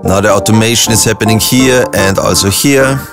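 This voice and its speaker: A young man with an Austrian German accent, a music producer calmly walking through a software tutorial step by step with frequent ums. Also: on the low side, brisk. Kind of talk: screen-recorded software tutorial.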